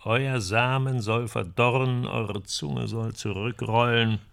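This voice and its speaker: A man's voice: medium pitch, fairly even intonation, takes it slowly, creaky, a bit bright. A man acting in a radio drama as a bookish, wry friend in 1930s Germany, musing with dry amusement. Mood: wry, reflective.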